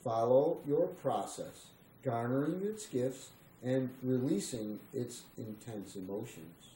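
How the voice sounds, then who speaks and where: A man's voice: mid-range voice, slow, warm tone. A man reading a spiritual text aloud in a meditative, scripted style. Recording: roomy echo, faint background noise.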